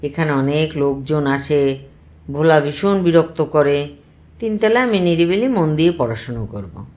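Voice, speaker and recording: A woman's voice: low-pitched, expressive, moderate pace, darkish tone. A woman voicing a schoolboy nephew in a children's story, sounding dutiful and innocent while he dodges his studies. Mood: falsely innocent. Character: schoolboy nephew, dodging study, feigning diligence.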